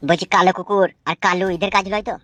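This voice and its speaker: A woman voicing a coarse, excitable male henchman in a cartoon, brash and cheeky. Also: medium pitch, quick pace, no room sound, natural voice. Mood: urgent.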